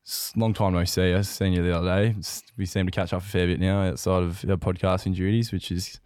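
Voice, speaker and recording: A young Australian man with a low voice, recorded dry and close. He banters casually as a podcast co-host, matey and slangy, readily egging the others on. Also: level tone, fast talker, darkish tone, no echo. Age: 20s.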